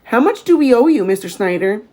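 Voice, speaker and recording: A woman's voice: fairly high pitch, big pitch swings, a little fast, mellow. A woman voicing an earnest, worried young farm boy in a storybook, pleading and full of questions. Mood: shocked, upset. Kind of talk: storybook read-aloud character voice.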